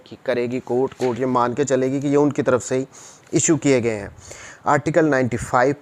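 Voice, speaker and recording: A man's voice: mid-range voice, darkish tone. A man with a Pakistani accent lecturing in a didactic way, reading formal text aloud and explaining it, switching between two languages. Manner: didactic, code-switches between Urdu and English. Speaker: law lecturer.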